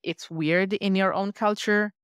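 A woman's voice: medium pitch, fairly even intonation, a little slow, smooth, no room sound, clean studio sound. A woman with an Italian accent, a leadership coach, speaking thoughtfully as a guest in a podcast interview. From Italy. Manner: thoughtful, reflective, earnest.

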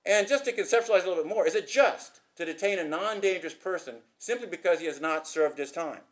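A middle-aged man giving an academic conference talk at a podium, brisk and gesturing, posing rhetorical questions. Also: sits high, expressive. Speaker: conference speaker. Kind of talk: academic presentation. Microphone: podium microphone.

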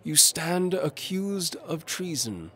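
A man voicing a pompous old medieval duke who declaims slowly in an audio drama. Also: a little high, big pitch swings, sharp tone, pitched above the performer's own voice, heavily acted. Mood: pompous, accusing.

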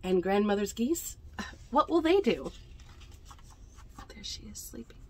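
A woman's voice: medium pitch, big pitch swings, a little hiss or hum. Young woman reading a children's storybook aloud. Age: young adult. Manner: unhurried storytelling with chatty asides on the pictures. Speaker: library storytime reader. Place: indoors among bookshelves in a small library bookmobile.

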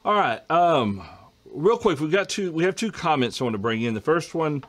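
A middle-aged man hosting a casual Bible study discussion over a video call, reading viewer questions and often clearing his throat. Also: on the high side, expressive, normal speed, fairly bright tone. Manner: conversational, frequent throat clearing. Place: home study with bookshelves.

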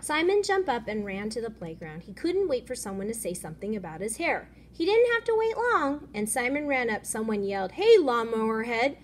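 A woman teacher reading a children's picture book aloud in an expressive, instructive way. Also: fairly high pitch, brisk, few pauses, a little hiss or hum.

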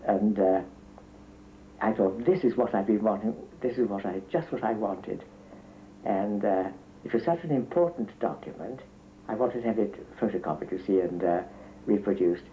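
An older man, a priest, recalling events earnestly in an interview, with hesitant fillers. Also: on the low side. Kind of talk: interview recollection. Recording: phone-like band, faint hiss.

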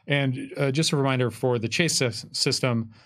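A man's voice: mid-range voice, steady tone, moderate pace, fairly bright tone. A man in his thirties giving casual explanations as a game designer, in a conversational, hedging way full of asides. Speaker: game designer answering rules questions. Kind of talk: casual explanatory panel talk. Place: indoor studio table with curtain backdrop. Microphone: tabletop microphone on a stand.

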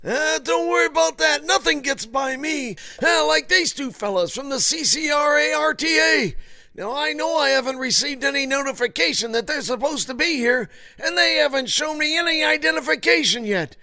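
A man's voice: very high voice, moderate pace, pushed and bright, dry recording, studio quality, exaggerated voice. A man playing a cocky, suspicious, blustering theater watchman in a comedy audio drama. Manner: blustering, colloquial.

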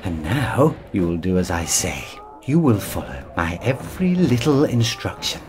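Low-voiced sneering schemer of a man. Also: big pitch swings, moderate pace, over music. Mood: menacing. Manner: sarcastic, condescending. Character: sneering, scheming, self-serving human traveller, menacing and sarcastic. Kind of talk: audio drama acting.

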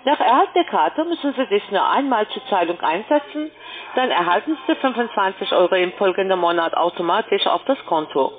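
A woman working as a call-centre telemarketer, delivering a scripted sales pitch over the phone. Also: lively intonation, brisk. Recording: muffled, band-limited phone line.

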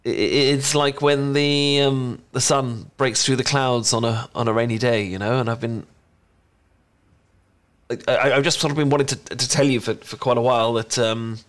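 Casting: male actor as adorkable young British chocolatier, mid-pitched, bashful and smitten, nervously tripping over words in an intimate audio roleplay. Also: steady tone, natural voice. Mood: nervous, flustered. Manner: nervous, stammering, halting. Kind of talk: ASMR roleplay, one-sided intimate conversation.

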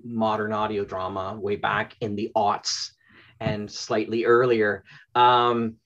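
A man's voice: lowish voice, flat delivery, takes it slowly, a bit bright, dull audio. A middle-aged man from Canada, an interview guest telling stories conversationally on a video call. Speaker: interview guest, audio drama producer. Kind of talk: casual conversational storytelling. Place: small home room with bookshelves.

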